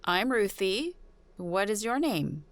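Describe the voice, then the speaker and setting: A woman's voice: mid-range voice, very animated, takes it slowly, no echo, voice pushed up. A woman playing a wary, sarcastic ordinary woman in a supernatural suspense audio drama, with a skeptical, informal delivery. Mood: puzzled, wary.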